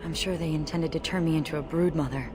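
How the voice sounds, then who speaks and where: An actress plays a battle-hardened dwarf woman from a fantasy video game. Her voice sits a little low for a woman, with a wry, matter-of-fact delivery that understates every hardship. Loud ambient game noise runs behind her. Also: fairly even intonation, fast talker, natural voice. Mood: grim, urgent. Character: brave wry female dwarf warrior, sole survivor of her legion.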